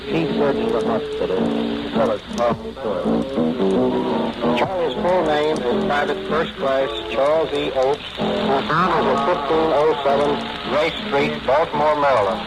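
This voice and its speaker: Brief: male wartime-era radio speaker, slightly high voice, formal scripted delivery, loud music underneath. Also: normal speed, poor audio. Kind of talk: 1940s radio broadcast, scripted speech. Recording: old 1940s radio recording, music underneath.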